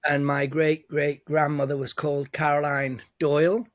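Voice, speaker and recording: A man's voice: on the high side, flat delivery, normal speed, no echo, clean studio sound. A man in his forties from Italy, talking casually as a guest DJ in an interview, with frequent restarts, fillers and laughter. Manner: hesitant, many restarts and fillers, laughs often. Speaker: guest DJ and producer.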